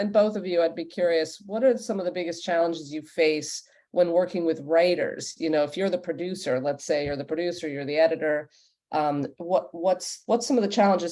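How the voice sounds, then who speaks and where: A woman's voice: on the low side, a little fast, a little mellow. A woman moderating a panel in a conversational way, thinking aloud and rephrasing her questions. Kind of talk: conversational interviewing.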